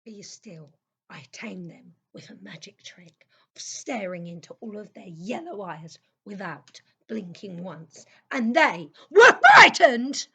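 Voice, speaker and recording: Woman voicing a bright, bold young boy. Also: mid-range voice, very animated, slow pace, breathy edge, exaggerated voice. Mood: confident, commanding. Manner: eager, confident, dramatic. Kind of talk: children's audio play acting.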